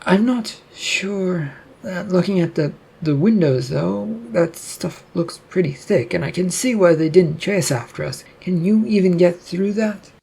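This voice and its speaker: A narrator puts on a sceptical audiobook heroine, a woman with a raised, husky voice. She is incredulous and exasperated, questioning everything she is told. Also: mid-range voice, measured pace, hardly any pauses, a little mellow, voice pushed up. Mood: doubtful, exasperated. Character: exasperated, incredulous woman, Laura, sharp-tongued and doubtful.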